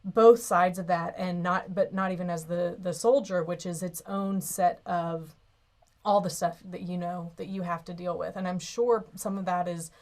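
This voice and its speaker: A woman with a slightly low voice co-hosts a live call-in advice show. She speaks to the caller gently and reassuringly, with plain sympathy. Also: steady tone, normal speed. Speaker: co-host and marriage coach.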